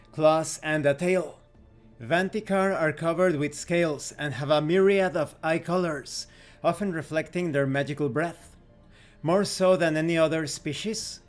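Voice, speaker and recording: Higher-voiced man reading aloud. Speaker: reviewer reading from a game rulebook. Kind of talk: scripted narration, reading aloud.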